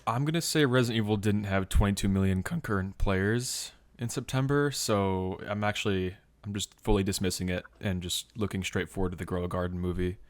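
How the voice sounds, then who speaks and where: A man with a fairly low voice, recorded close with very little room sound. He chats casually as a podcast panelist and jokes in a dry way. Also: fairly quick, hardly any pauses, dry recording. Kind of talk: casual chat.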